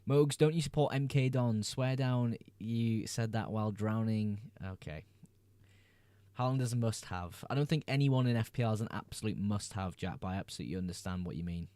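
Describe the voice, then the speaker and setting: A man's voice: fairly low pitch, brisk, mellow, dry recording. A young man hosting a casual livestream, reading viewers' chat messages aloud and answering them in a laid-back way. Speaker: livestream host.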